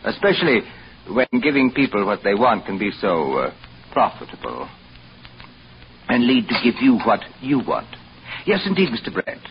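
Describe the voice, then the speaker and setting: A pompous, suspicious hotel manager speaks in formal, swooping phrases with deliberate pauses. The vintage radio drama recording is muffled, with faint noise. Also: male voice, mid-range voice, sing-song. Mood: veiled suspicion. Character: suspicious, condescending male hotel manager, smooth-talking superior. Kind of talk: 1940s radio acting. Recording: dull, faintly noisy old radio recording.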